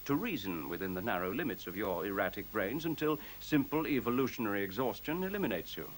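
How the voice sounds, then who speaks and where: A man playing a newborn machine intelligence in a science fiction radio play, speaking in measured, formal, pedantic sentences with cool, superior logic. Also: fairly low pitch, very animated. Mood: serene, superior. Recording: faint hiss, 1970s radio recording.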